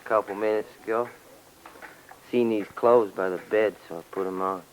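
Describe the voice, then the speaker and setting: An actor playing a cagey crook in an old radio drama, talking in a casual, slangy and slightly pushy way. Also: male voice, on the low side, fairly even intonation, normal speed, close to an everyday voice. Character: cagey, slangy male crook on the run, scheming. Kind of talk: 1940s-50s radio drama acting. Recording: muffled, noisy old radio recording.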